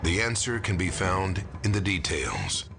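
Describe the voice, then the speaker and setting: A man with a very low voice narrates a documentary in a dramatic, scripted style. Very loud music runs underneath his voice. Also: very animated, a little slow, gravelly. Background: loud dramatic score.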